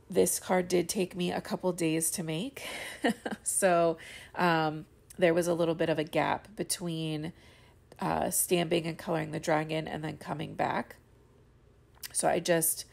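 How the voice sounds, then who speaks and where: Young woman host, low-pitched and heavily gravelly, congested and a bit cracky, chatty voiceover with lots of fillers. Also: darkish tone. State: stuffy and congested. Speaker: card-making channel host. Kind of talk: casual voiceover of a coloring tutorial. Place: home studio voiceover.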